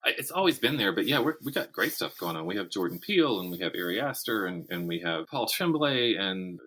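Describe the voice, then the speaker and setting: An American man in his fifties answering interview questions conversationally, thinking aloud in a candid, animated way. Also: lowish voice, fairly quick. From South Carolina, United States. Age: 50s. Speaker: interview guest, horror writer and teacher.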